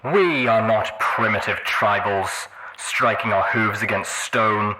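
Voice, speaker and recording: A man voicing a grandiose pony leader giving an emphatic, measured speech about progress in an audiobook. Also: fairly low pitch, very noisy. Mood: rousing, resolute. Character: grandiose male pony demagogue preaching industrial progress.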